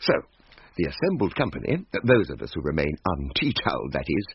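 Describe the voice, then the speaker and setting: Pompous young gentleman, florid radio-drama storytelling, wide swooping intonation, slightly muffled recording. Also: a bass voice, sing-song, brisk, vocal fry, breathy edge, exaggerated voice. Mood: self-important. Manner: pompous, wordy, theatrical. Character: pompous, bravado-filled young gentleman nephew telling ghost tale. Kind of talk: comic old-time radio drama acting and narration.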